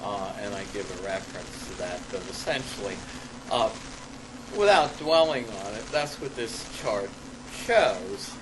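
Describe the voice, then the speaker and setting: A man reading an academic paper aloud in a classroom as a lecturer, halting and deliberate, stressing key words and adding spoken asides. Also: fairly low pitch, expressive. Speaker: lecturer presenting own paper. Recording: clearly noisy live classroom recording.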